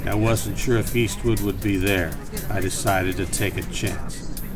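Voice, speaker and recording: A man's voice: fairly low pitch, lightly acted. Man playing a sardonic noir private eye. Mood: brooding narration. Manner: dry, hard-boiled, sardonic. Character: cynical wisecracking male film noir private detective. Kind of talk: campy 1940s film noir radio acting with narration.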